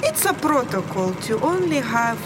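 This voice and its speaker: A woman's voice: a little high, very animated, normal speed. An actress playing a stern, unbending woman in an audio drama, officious and firm. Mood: firm, patient. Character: stern, unbending Russian woman arranging a secret escape. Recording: noisy engine and vehicle ambience.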